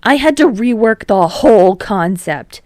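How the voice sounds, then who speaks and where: A woman's voice: medium pitch, expressive, measured pace, dark tone, no echo, studio quality, voice pushed up, lightly acted. A woman voicing an indignant, dramatic young man in an audiobook story, emphatic and complaining.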